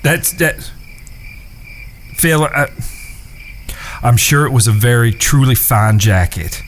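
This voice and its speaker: Man playing a lively-pitched, steady rancher. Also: a little hiss or hum. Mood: gentle concern. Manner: folksy, measured, reassuring. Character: steady, protective Old West rancher, level-headed brother. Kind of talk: audio drama acting.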